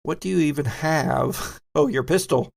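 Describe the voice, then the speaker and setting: A man's voice: fairly high pitch, moderate pace, gravelly. A man acting as game master, narrating and running a tabletop horror role-playing game.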